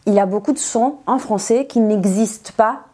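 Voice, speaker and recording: Mellow-voiced teacher, woman. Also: mid-range voice, moderate pace. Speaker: non-native French teacher, interview guest. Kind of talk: conversational explanation in an interview.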